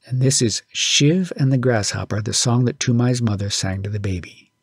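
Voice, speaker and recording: A man's voice: mid-range voice, moderate pace, breathy edge, no echo, clean studio sound. A man reading a story aloud as an audiobook narrator, in scripted storytelling style.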